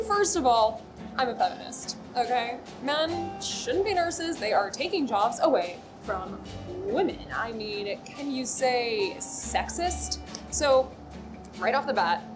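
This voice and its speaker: Rambling, high-voiced young American woman. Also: heavily acted. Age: young adult. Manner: rambling, hesitant starts, frequent filler "like", indignant asides. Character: rambling, neurotic, foul-mouthed young woman, quick to take offense. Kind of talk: comedic voicemail monologue in a short film.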